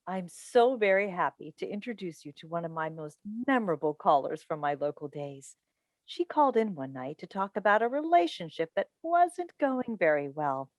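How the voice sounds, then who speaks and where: A woman's voice: lowish voice, sing-song, normal speed, dry recording. A woman playing a driven, needling mother and broadcast advice host in a staged play reading over a video call. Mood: gracious, showy. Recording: dry video call recording.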